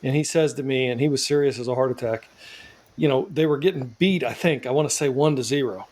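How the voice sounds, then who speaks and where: A man hosting a casual podcast, joking with his guests and telling animated stories. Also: medium pitch, quick pace. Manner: jokey, animated storyteller. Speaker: podcast host.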